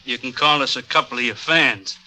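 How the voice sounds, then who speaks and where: A man's voice: medium pitch, fairly quick, pushed and bright, faint music behind. An American actor playing a needling small-time hoodlum in a mid-century radio drama, with a tough-guy sneer.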